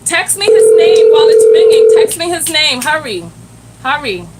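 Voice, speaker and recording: A woman's voice: high-pitched, normal speed, heavy background noise. A woman hosting a live stream as a self-love coach, chatting animatedly and talking straight to her viewers. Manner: animated, addresses the chat directly, exclaims "Lord have mercy".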